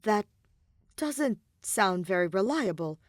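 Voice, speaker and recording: A woman's voice: mid-range voice, lively intonation, takes it slowly, no room sound, clean studio sound. A woman voicing an anxious, hesitant but earnest young man in an audiobook story. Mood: doubtful. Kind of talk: audiobook character dialogue.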